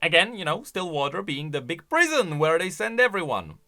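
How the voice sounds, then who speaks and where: Animated, bright-voiced man commentating. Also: a little high, fairly quick, soft background music. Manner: enthusiastic, explanatory. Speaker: commentator on game lore. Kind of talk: casual reaction commentary.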